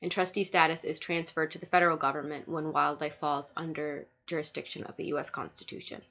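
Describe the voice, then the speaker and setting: A woman's voice: sits low, flat delivery, fairly quick, gravelly. A young woman with a cold and a nasal, stuffy voice, giving a recorded slide lecture and reading through lists of points with frequent fillers. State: sick, nasal congestion. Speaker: student lecturer.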